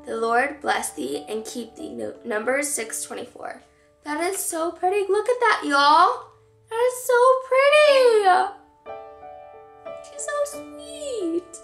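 A young woman with a hoarse, fading voice, chatting excitedly to camera from her couch as a vlogger. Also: high-pitched, roomy sound. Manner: gushing, excitable. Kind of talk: casual chat to camera. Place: living room, on a couch.

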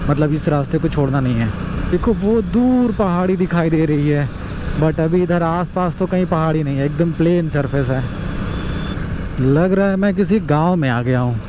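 Young guy chatting excitedly on a bike ride, voice a bit high, road noise all around. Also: moderate pace. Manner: excited, chatty, talks to viewers. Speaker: travel vlogger. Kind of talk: casual running commentary while riding. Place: outdoors on a road, riding a motorbike. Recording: road and traffic noise behind.

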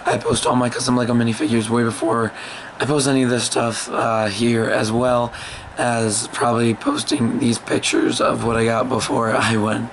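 A hoarse young man who has lost his voice, rambling casually to a camera held at arm's length in a small bedroom, with lots of ums and restarts. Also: mid-range voice, level tone, moderate pace, slight background noise, poor audio. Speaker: vlogger. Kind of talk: casual vlog talk to camera.

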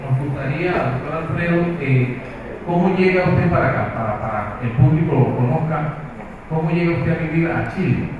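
A man's voice: fairly high pitch, moderate pace, background noise. Polite formal guy moderating, echoey hall, thin tinny audio. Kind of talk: panel moderating. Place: large hall with flags. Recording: echoey hall, far, phone-like.

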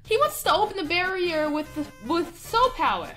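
A woman's voice: extremely high pitch, very animated, normal speed, fairly bright tone, soft background music, heavily acted. A woman voicing a boastful, friendly and naive male skeleton from a game, announcing things theatrically. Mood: earnest announcing.

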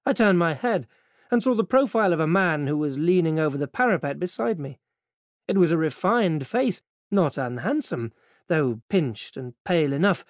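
A man's voice: a little high, no echo, studio quality. A man with an English accent narrating a first-person literary story for an audiobook.

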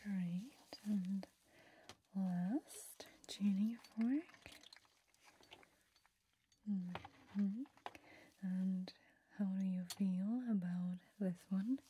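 A woman doing a gentle, reassuring ASMR roleplay as an ear examiner, mostly in a breathy whisper with short pauses. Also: medium pitch, a little hiss or hum.

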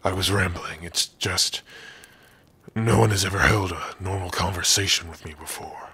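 A man voicing a big, gruff orc prisoner in an ASMR roleplay, brooding and sardonic, with a husky, rough voice. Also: sits low, normal speed, pushed and bright, slight background noise. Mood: warming, gruff. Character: big gruff male orc prisoner, guarded but lonely. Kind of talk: ASMR roleplay addressed to the listener.

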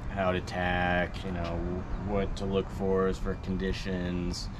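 A man in his thirties with a low voice talks loosely to the camera, filling his pauses with ums and uhs. He is outdoors on a marina dock. Steady noise sits under his voice. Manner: relaxed, with frequent ums and uhs. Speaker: vlogger. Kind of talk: casual vlog recap.

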